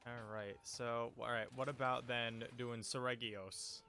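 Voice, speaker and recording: A man's voice: medium pitch, steady tone, measured pace, bright, forceful tone. A man streaming a video game from home on a desk mic, chatting casually and rambling with fillers and little jokes. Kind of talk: casual live-stream chat. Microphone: desk microphone.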